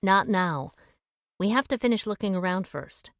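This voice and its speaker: An actress playing a shrewd, take-charge amateur detective with a brisk, wry way of reasoning aloud in an audio drama. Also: female voice, mid-range voice, lively intonation, fairly bright tone, no echo. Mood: wry, assured. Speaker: lead actor in an audio drama.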